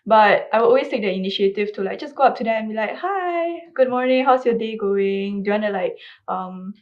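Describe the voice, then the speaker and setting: A woman's voice: mid-range voice, animated, a little fast. A young woman talks casually about personal experience as a panel guest. The recording is slightly muffled. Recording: slightly dull, muffled sound.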